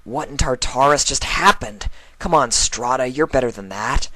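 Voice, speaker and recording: A man's voice: mid-range voice, normal speed, bright, forceful tone, dry recording, pitched above the performer's own voice, lightly acted. A man voicing a proud, short-tempered archaeologist mare from a story, exasperated and sarcastic as she mutters to herself. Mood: self-scolding.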